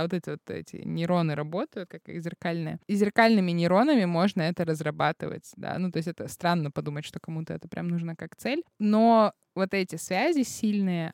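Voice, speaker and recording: A woman in her thirties hosting a podcast, explaining things in a chatty, animated way with plenty of fillers. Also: fairly low pitch, creaky, dry recording, studio quality. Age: 30s. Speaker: podcast host, head of an English school. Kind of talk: casual podcast conversation.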